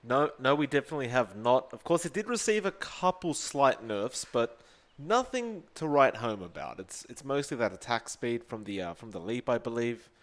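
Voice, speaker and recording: A man's voice: mid-range voice, big pitch swings, moderate pace. Australian man casting esports. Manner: chatty, joking banter. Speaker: esports caster. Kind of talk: play-by-play commentary.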